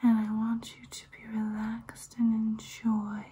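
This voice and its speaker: A young woman voicing a soothing, hypnotic ancient female vampire in a breathy ASMR whisper, close to the mic. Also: a little high, completely flat delivery, dark tone. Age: young adult. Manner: slow, soothing, hypnotic, repetitive. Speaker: ASMR performer. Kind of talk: ASMR personal-attention roleplay. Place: small room indoors.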